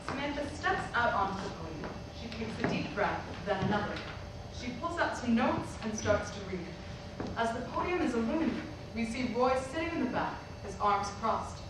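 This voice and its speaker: A woman's voice: on the high side, lively intonation, moderate pace. A woman giving scripted narration, reading out stage directions at a play reading. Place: indoor hall, recorded from the audience. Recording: very echoey hall, distant, loud noise.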